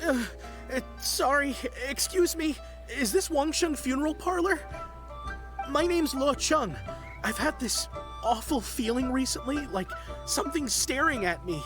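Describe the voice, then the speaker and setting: A woman's voice: sits high, lively intonation, measured pace. A woman voicing a frightened young villager in a video game who believes a curse has been laid on them, panicky and stammering. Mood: nervous. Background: game music.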